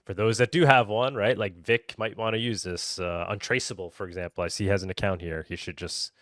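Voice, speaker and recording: A man's voice: on the low side, fairly even intonation, fairly quick, fairly bright tone, dry recording, clean studio sound. A man in a casual podcast conversation, explaining features as a developer and often checking that listeners follow.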